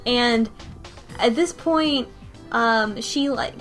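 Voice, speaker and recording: A woman's voice: on the high side, extremely slow, fairly bright tone, faint music behind. A woman co-hosting a casual podcast, recapping a story in a rambling way with many ums and restarts. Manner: rambling recap with frequent ums and self-corrections. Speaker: podcast co-host. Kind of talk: casual chat recapping a visual novel.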